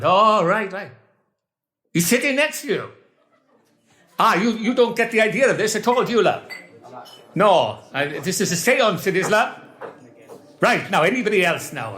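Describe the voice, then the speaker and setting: A man's voice: high voice, pushed and bright, full character voice. A man doing Marvello, a bluffing Welsh stage medium. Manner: chatty, bluffing showman addressing the audience. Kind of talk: comedy sketch monologue.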